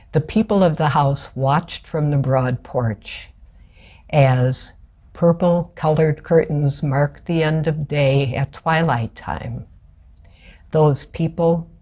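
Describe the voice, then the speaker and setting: A person reading poetry aloud in a measured, deliberate way, pausing between short phrases. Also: medium pitch, darkish tone. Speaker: writer reading their own work.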